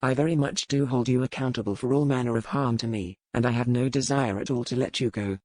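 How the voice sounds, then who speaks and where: A woman's voice: rumbling low, steady tone, fast, darkish tone, dry recording, pitched below the performer's own voice. A woman voicing a curt, proud, menacing pirate lord with formal, archaic phrasing in an audiobook. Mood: cold menace. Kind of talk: audiobook character dialogue.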